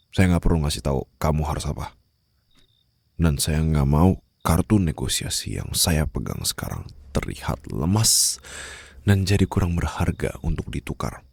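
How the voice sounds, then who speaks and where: A man's voice: a little mellow, no room sound, exaggerated voice. A man playing a cold, menacing mafia boss in an ASMR roleplay, speaking formally and condescendingly to a captive, with mocking sweetness, close on the microphone. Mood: condescending, threatening. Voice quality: very low, gravelly, husky. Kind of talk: ASMR roleplay acting, addressed to the listener. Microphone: close studio-style microphone.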